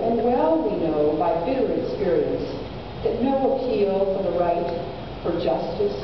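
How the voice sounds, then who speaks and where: A woman reading aloud as a quiet, resolute working-class male revolutionary, with measured, insistent oratory from a literary work. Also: mid-range voice, natural voice. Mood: quietly firm. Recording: echoey hall, distant mic, muffled, noisy.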